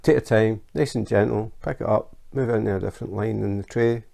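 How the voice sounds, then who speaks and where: A Scottish man with a gravelly, warm voice that sits a little low. He talks through a crafting tutorial at an easy, chatty pace, dotted with ums and restarts. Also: fairly even intonation. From Scotland. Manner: chatty and unhurried, with fillers. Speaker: crafting channel host. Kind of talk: casual tutorial.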